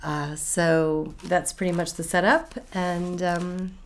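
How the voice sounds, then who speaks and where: A woman's voice: on the low side, level tone, slow. Woman novelist reading aloud. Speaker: novelist reading from own book. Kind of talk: expressive literary reading, informal introduction.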